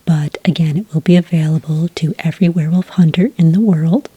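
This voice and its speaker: A woman speaks in a very breathy near-whisper, low and dark in tone. She is gentle and unhurried, like a polite official taking details in an ASMR roleplay. The sound is close and dry. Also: fairly even intonation, no echo. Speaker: ASMR roleplayer acting as a werewolf report agent. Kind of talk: soft-spoken ASMR roleplay.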